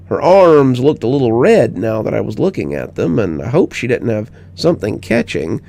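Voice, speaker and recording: Male storyteller, creaky voice, very varied intonation, scripted horror narration. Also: medium pitch, very animated, normal speed, warm tone.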